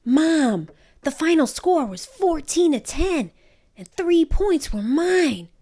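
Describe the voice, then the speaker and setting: A woman's voice: high voice, big pitch swings, slow, dark tone, pitched above the performer's own voice, full character voice. A woman voicing an eager, determined nine-year-old boy in an earnest, pleading way for a storybook. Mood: proud, excited.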